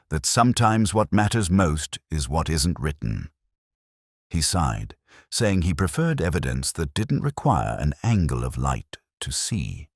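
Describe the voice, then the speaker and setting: A man narrating as a brooding, reflective English detective, in measured, literary, melancholy first-person mystery storytelling. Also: rumbling low, no echo, clean studio sound, exaggerated voice.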